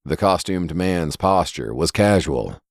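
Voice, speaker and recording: A man's voice: sits low, lively intonation, a little slow, no room sound, studio quality. A man reading a scripted horror story as its narrator.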